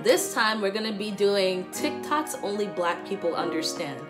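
A woman's voice: medium pitch, expressive, moderate pace, music in the background. A young woman with a nasal, congested voice from the flu, giggling often as she reacts to clips from her home. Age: young adult. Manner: giggly, laughs often between remarks. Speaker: YouTube reaction host. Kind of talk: casual reaction commentary. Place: small room at home, indoors.

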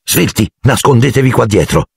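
A man's voice: mid-range voice, animated, moderate pace, gravelly, slightly rough, no room sound, clean studio sound, voice pushed up, heavily acted. A man voicing a brave teenage schoolboy, firm and urgent.

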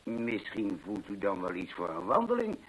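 Husky, animated, obliging country man. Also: on the low side, moderate pace, exaggerated voice, rough recording. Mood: genial. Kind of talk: old radio drama acting.

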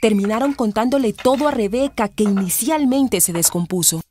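A woman's voice: fairly high pitch, normal speed, dark tone, a little hiss or hum. A woman with a Colombian accent narrating a scripted radio drama.

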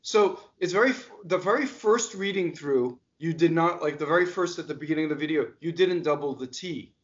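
A talkative American man in his fifties explains opera diction over a video call from a home music room. His voice sits a little high for a man and comes through slightly dull and lo-fi. He questions his partner pointedly and talks with plenty of energy. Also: fairly quick. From United States. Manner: energetic, gestures a lot, asks pointed questions. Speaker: diction coach. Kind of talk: casual video call discussion.

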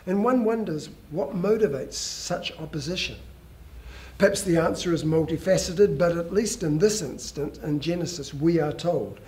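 Older male pastor, measured earnest preaching, somewhat high voice, headset mic, church stage. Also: darkish tone. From New Zealand. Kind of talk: sermon.

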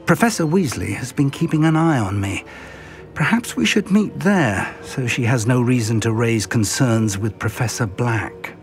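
An actor playing an elderly wizard professor and mentor, speaking in a measured, musing, formal way in a video game. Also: male voice, medium pitch, lightly acted. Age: older. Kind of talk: scripted video game cutscene acting. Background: music.

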